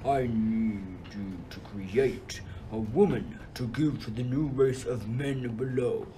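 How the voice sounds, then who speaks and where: A man's voice: medium pitch, slow, warm tone, slight background noise, lightly acted, low-quality recording. A man playing a wrathful, scheming king of the gods in a radio play. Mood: commanding, scheming.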